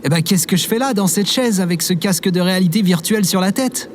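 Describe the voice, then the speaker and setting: A man's voice: high-pitched, fast, slight background noise, close to an everyday voice. A man playing a good-natured, bewildered everyman survivor in an audio drama, with a hesitant, naive way of talking.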